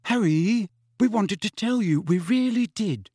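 A man's voice: sits high, expressive, darkish tone, husky, no room sound, studio quality, voice pushed up, full character voice. A man voicing an anxious, clever teenage girl who apologizes and explains in a hurry. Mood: pleading. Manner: apologetic, hurried.

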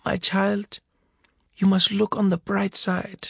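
A man voicing a gentle, loving mother who is recovering in hospital, speaking softly and soothingly in a storybook reading. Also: mid-range voice, monotone, takes it slowly, vocal fry, breathy edge, no room sound, studio quality, pitched above the performer's own voice, full character voice. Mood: teasing. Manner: soft, hushed, soothing.

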